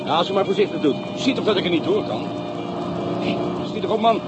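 An actor playing a cheeky, impatient police sidekick in a radio play, flippant and muttering. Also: male voice, high-pitched, fast talker, loud music behind the voice, natural voice. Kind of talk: Dutch radio play acting. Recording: loud traffic noise behind.

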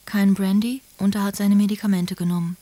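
A woman's voice: medium pitch, level tone, brisk, mellow, dry recording, close to an everyday voice. An actress playing a worried sister who confides her concern in letters in a radio drama. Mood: uneasy reporting. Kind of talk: German radio drama, letters read in character.

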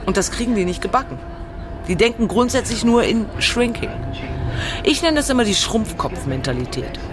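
An actress playing a blunt, outspoken executive who argues emphatically, scornful and full of English business jargon. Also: female voice, a little high, expressive, moderate pace, lightly acted. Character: combative, outspoken car sales executive abroad, scornful of cost-cutters. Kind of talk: documentary audio drama monologue. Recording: noisy urban ambience with vehicles.

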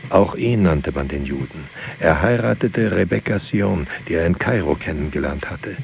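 An actor playing a grave narrator in a literary radio drama, speaking in a solemn, measured way. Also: male voice, low voice, lively intonation, vocal fry, slight background noise. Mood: grave, matter-of-fact. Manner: solemn, measured, terse sentences. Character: grave male narrator recounting lives and losses.

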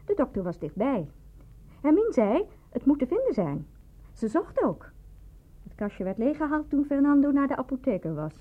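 Husky, bitter, evasive woman, muffled recording. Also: a little high. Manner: dramatic, defensive, brooding. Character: bitter, evasive woman under questioning about a poisoning. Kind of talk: Dutch radio play acting. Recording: dull, lo-fi radio play recording.

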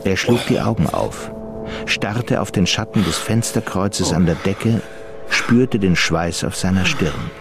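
An actor as the measured, sober narrator of a crime thriller radio drama. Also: male voice, deep voice, animated, music in the background. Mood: measured, tense.

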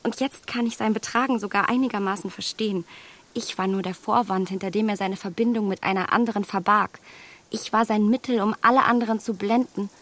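An actress playing a proud, candid young gentlewoman in a radio drama, polite and articulate as she reproaches herself. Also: medium pitch, fairly even intonation, a little fast, natural voice. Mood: earnest, confessional. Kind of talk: German radio drama acting of a classic novel.

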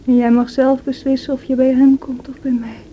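Feverish, high-voiced young woman, acted by a woman. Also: flat delivery, lo-fi sound, close to an everyday voice. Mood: bitter, defiant. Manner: breathy, slow, anguished delivery. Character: feverish young married woman, wild, distraught, defiant. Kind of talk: radio drama acting. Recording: noisy old radio play recording.

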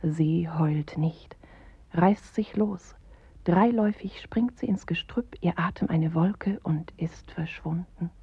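A woman narrating a dark, grim tale in a radio play, with measured, terse phrasing and a husky, breathy voice. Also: lowish voice, no echo, exaggerated voice. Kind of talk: literary radio play narration, slow and deliberate. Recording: dry, slightly dull studio radio recording.

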